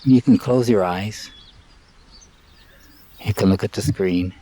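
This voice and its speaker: A man leading a live guided meditation in a calm, measured way, in short phrases with long pauses, outdoors. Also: lowish voice, husky, no room sound. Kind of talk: live guided meditation, spoken softly and unscripted. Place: outdoors by water and trees.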